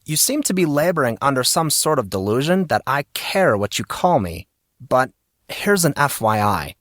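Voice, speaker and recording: A man's voice: medium pitch, very animated, moderate pace, a bit bright, no echo, pitched above the performer's own voice. A man voicing a defiant teenage student with a dry, sarcastic manner in an audio story. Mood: coolly dismissive. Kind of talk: audiobook character voice.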